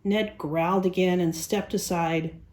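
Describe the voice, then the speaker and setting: A woman storyteller reading a picture book aloud to young children in an expressive style. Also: on the low side, a little slow.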